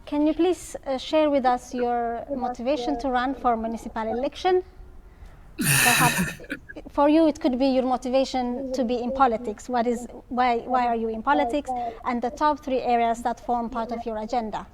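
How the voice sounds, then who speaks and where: A woman's voice: a little high, fairly even intonation, moderate pace, a crowd in the background. A woman moderating a candidate panel who asks questions and affirms answers with short interjections.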